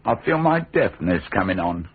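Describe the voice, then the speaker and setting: Very husky, low-voiced jailer. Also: male voice, big pitch swings, moderate pace, heavily acted. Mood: wary, muttering. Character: wary, self-preserving common jailer, outwardly obedient. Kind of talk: radio drama acting. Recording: narrow phone-like radio recording.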